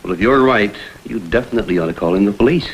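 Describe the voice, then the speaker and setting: An actor playing a calm, probing private investigator in an old crime drama, speaking in a brisk, businesslike way. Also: male voice, fairly low pitch, natural voice, poor audio. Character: calm, probing male private investigator, persistent and level-headed. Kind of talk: vintage American television crime drama acting. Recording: hissy vintage film soundtrack.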